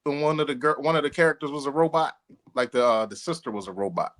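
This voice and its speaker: A man's voice: a little high, fast talker, a bit bright. A man hosting a podcast in casual, slangy, joking group banter. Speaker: podcast host.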